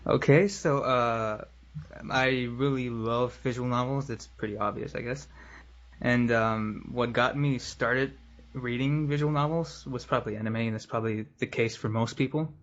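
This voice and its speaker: A man with a mid-pitched voice talks casually as a podcast guest, low-key and a little hesitant. The sound is dull, like a lo-fi online call. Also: steady tone, normal speed, a bit bright. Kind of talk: casual chat.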